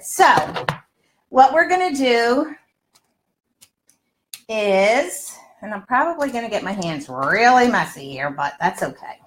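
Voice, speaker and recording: Older female cooking host, on the high side, chatty and exclamatory, talking straight to viewers in a reverberant home kitchen. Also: a little hiss or hum. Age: older, around 60s. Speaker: health coach and cooking show host. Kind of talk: casual live cooking demonstration. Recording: roomy, echoey home kitchen sound.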